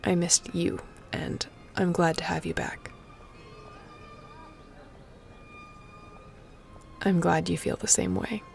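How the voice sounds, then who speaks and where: A woman's voice: on the low side, very animated, moderate pace, gravelly, darkish tone, whispered, soft background music, full character voice. A woman playing a snarky, affectionate but guarded space captain in an intimate sci-fi audio roleplay, talking in a casual, teasing way. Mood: sincere, affectionate.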